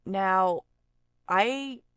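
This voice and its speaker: Woman, casual software instructor, unhurried, clear voice, thinking aloud, dry close recording. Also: mid-range voice, no room sound. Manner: informal, explanatory, thinking aloud. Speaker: voice actor giving an audio editing tutorial.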